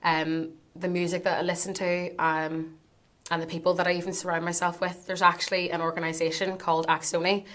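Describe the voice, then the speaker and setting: A woman's voice: lowish voice, flat delivery, brisk, a bit bright. A young woman, speaking earnestly about her own views. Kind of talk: personal testimony.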